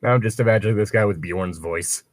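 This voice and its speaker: A man's voice: lowish voice, quick pace, no echo, clean studio sound. A man reading a story aloud and breaking off into wry, sweary, rambling casual commentary as co-host. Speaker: co-host of a fan fiction reading show.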